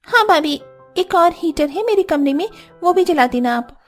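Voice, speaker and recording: A woman's voice: very high-pitched, animated, moderate pace, faint music behind. A woman playing a girlish, carefree young sister-in-law in a cartoon, coaxing and demanding with exclamations. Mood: gleeful. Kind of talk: Hindi cartoon story dialogue.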